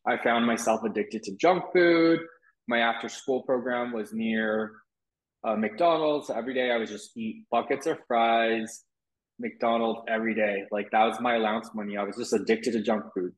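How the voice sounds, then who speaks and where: A man's voice: mid-range voice, moderate pace. A young man who grew up partly in Taiwan, with Mandarin as his first language, speaking candidly and reflectively as an interview guest, with frequent restarts. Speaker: wellness guest. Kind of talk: interview conversation. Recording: roomy echo.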